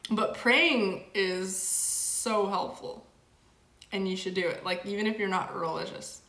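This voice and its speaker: A woman's voice: medium pitch, measured pace, bright, forceful tone, echoey room. A young woman hosting a casual livestream, reading chat aloud and rambling with lots of fillers. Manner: rambling, filler-heavy, frequent "like". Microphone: camera mic.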